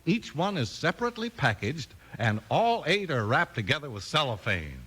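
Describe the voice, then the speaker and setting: A male announcer reads a chatty vintage radio advertisement. His voice is very lively and varied in pitch, with a husky edge. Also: normal speed. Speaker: commercial announcer.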